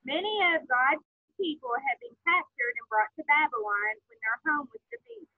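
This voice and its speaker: Lively woman on a tinny video call. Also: sits high, moderate pace, no room sound. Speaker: children's storyteller. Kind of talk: reading a story to children. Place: home room with ceiling fan. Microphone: laptop on a video call.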